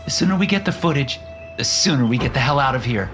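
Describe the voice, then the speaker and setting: Guy doing higher voices for the young crew, swoopy and tense, music under it. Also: lively intonation, fast, lightly acted. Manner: raised pitch for dialogue. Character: young adult characters, men and a woman.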